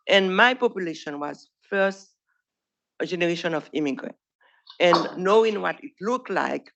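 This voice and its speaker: A woman's voice: fairly low pitch, creaky, fairly bright tone, dull audio. A woman from Haiti with a Haitian accent, a primary care doctor giving an earnest presentation to trainees. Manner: earnest, plain speech with small grammar slips. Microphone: podium microphone.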